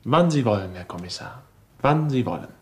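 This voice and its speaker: An actor playing a cocky young bank clerk who speaks in a sardonic, insolent way in a 1970s radio drama. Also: male voice, mid-range voice, expressive, brisk, darkish tone, lightly acted. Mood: guarded. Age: young adult.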